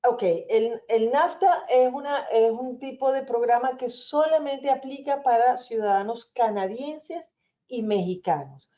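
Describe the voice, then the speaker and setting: Woman immigration lawyer, Venezuelan accent, soothing explainer; slightly high voice, muffled webinar sound. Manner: reassuring, explanatory, addresses listeners as señores.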